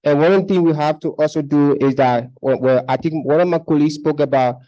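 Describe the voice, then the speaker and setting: A man's voice: fairly high pitch, brisk, warm tone, muffled recording. A young man from Liberia, speaking up earnestly at a conference, often repeating words as he makes his case. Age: young adult. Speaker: conference participant. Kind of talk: discussion contribution. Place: conference hall.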